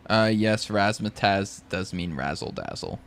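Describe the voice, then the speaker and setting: A man's voice: lowish voice, unhurried, fairly bright tone. A man co-hosting a casual podcast, laughing often and swearing while he tells stories. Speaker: podcast co-host. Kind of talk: casual chat.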